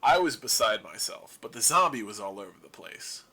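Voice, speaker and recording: Bright-voiced, wry apocalypse survivor played by a man. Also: fairly low pitch, big pitch swings, moderate pace, a little hiss or hum, full character voice. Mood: joking. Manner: sarcastic, rambling, self-deprecating humor. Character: wry young man surviving a zombie apocalypse, broadcasting alone. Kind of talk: audio drama monologue as a casual radio broadcast.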